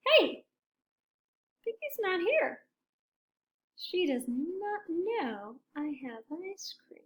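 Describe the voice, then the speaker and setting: A woman voicing an excitable, agonizing male elephant in a storybook, with a dramatic, drawn-out delivery. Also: very high-pitched, pitched above the performer's own voice, full character voice. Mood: worried. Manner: dramatic, drawn-out, wavering between glee and worry. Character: excitable, agonizing male elephant who loves ice cream.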